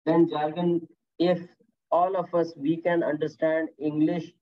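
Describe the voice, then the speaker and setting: A man's voice: a little high, level tone, unhurried. A man teaching a workplace safety course on a video call, encouraging students and repeating their answers, with frequent "okay" and "very nice". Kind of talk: online lecture with class questions. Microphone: computer mic on a video call. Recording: tinny phone-like call audio.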